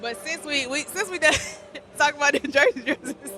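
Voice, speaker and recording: A woman's voice: sits high, moderate pace, sharp tone, soft background music. A woman hosting a casual street interview at a market, chatty and slangy, laughing easily. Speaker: interviewer host. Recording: crowd noise behind. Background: market crowd chatter.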